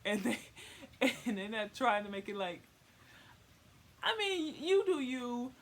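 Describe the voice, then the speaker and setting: A woman's voice: on the high side, big pitch swings, slow pace. A young woman giving a casual comic explainer in informal slang, rambling and repeating words for emphasis. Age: young adult. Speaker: vlogger. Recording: faint noise, home recording.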